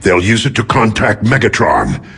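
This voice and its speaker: Casting: noble giant robot commander in an action game; forceful, raspy, solemn and unhurried. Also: male voice, lowish voice, wildly swooping pitch, heavily acted. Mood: steady, commanding. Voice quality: gravelly, husky. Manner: solemn, authoritative, deliberate. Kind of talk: video game character acting.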